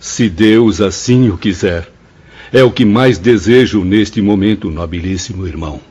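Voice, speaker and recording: Fervent, pompous Brazilian penitent spirit. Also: male voice, lowish voice, a little slow, darkish tone, slightly rough. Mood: respectful. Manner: impassioned, pompous, declamatory oratory. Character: repentant suicide spirit, former professor, fervent and humble. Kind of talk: Brazilian radio drama acting. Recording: dull lo-fi audio with music behind. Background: music.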